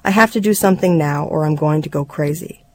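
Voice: medium pitch, brisk, dry recording, lightly acted. A reader voicing an earnest, exasperated father from a memoir. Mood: earnest, pleading.

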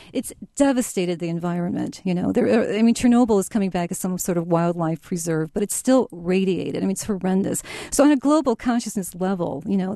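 A woman's voice: mid-range voice, creaky, warm tone, no room sound, studio quality. A woman from Chicago, a novelist, telling her story in a reflective, roundabout way in a radio interview. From United States (Chicago). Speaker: novelist guest.

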